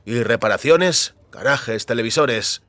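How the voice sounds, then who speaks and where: A man's voice: mid-range voice, expressive, a little slow, a bit bright, no room sound. A man voicing a polite male newcomer looking for work in an audio story, asking probing questions. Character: polite, probing male job seeker, newly arrived.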